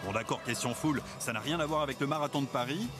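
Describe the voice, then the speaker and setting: A man's voice: medium pitch, fast talker. Man narrating a news report over music.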